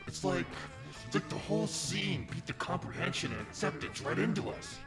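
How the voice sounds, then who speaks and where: A man's voice: a little high, big pitch swings, normal speed. A man voicing a dopey, earnest teenage werewolf jock with enthusiastic bro slang in an amateur game dub. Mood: excited. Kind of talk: amateur visual novel dub. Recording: loud music behind the voice.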